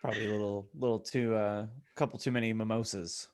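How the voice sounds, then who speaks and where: A man's voice: on the low side, fairly even intonation, fast talker, a little mellow. A man co-hosting a podcast, chatting casually with short joking remarks. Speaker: podcast co-host. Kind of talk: casual group banter.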